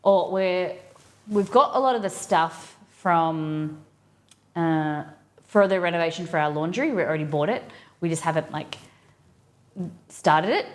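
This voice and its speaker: A woman's voice: fairly low pitch, a little slow. A woman with an Australian accent, hosting a casual cooking live stream and chatting informally with viewers as she thinks aloud.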